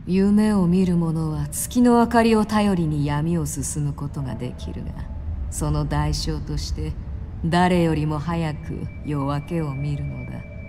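A woman's voice: deep voice, measured pace, warm tone, slight background noise. A woman voicing a grave, poetic hero in a scripted game line. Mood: grave, musing.

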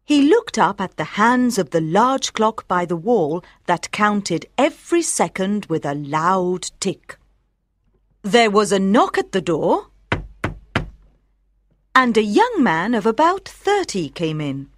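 A woman narrating a scripted story as an audiobook for language learners, with careful, clear phrasing. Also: mid-range voice, sing-song, slow pace, dry recording, studio quality.

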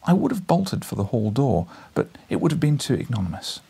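A British man in his thirties, an audiobook reader with an expressive, informal delivery. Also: medium pitch, brisk, creaky, husky. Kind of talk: expressive story narration and casual address. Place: small book-lined living room, indoors.

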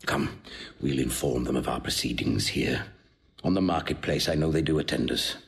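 A suspicious Roman tribune plots in a stage drama. The voice is exceptionally deep with a heavy creak. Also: male voice, normal speed. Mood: conspiratorial. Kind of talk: classical Shakespearean stage acting.

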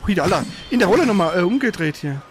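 A man's voice: high voice, very animated, quick pace, over music. A man giving casual, colloquial gaming commentary as he plays, exclaiming at the game. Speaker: gamer, let's play host.

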